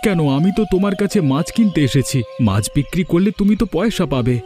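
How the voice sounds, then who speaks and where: Man playing a quick-talking, fretful village husband. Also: high voice, animated, over music. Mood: inquisitive. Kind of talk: Bengali cartoon story dialogue.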